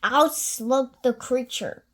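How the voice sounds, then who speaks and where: A woman reading a children's picture book aloud expressively, with deliberate, rhythmic phrasing. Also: high voice. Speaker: children's story reader.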